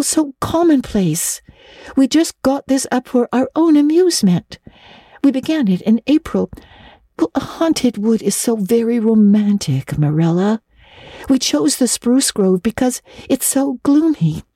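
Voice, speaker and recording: An earnest, dreamy young girl chatters in a dramatic storybook reading. Her delivery swoops with feeling, gushing and breathless, full of romantic notions. The voice is high and the recording close and dry. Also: expressive, a little slow, warm tone, no room sound. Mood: dramatic, thrilled. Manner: breathless, gushing, with eager stammered repetitions. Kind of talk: dramatic audiobook reading of classic children's literature.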